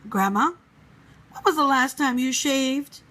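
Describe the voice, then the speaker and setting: A woman playing a polite, inquisitive young girl in a storybook reading, with an expressive, questioning manner. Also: sits high, measured pace, pitched above the performer's own voice. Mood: curious, suspicious.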